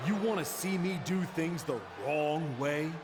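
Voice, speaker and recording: A man acting as a proud male wrestler on a comeback in a game cutscene. His fairly high voice is earnest and determined, emphatic, with a noisy arena crowd behind. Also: unhurried, close to an everyday voice. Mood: defiant. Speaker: pro wrestler.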